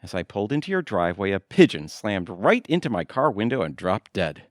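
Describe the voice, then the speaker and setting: A man plays an earnest, mopey husband in a comic audio drama. The voice is fairly low yet moves around a lot, defensive and literal, in a very dry recording. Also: sing-song, fairly quick, no room sound. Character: mopey, earnest husband crashing on friend's couch. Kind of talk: audio comedy drama acting.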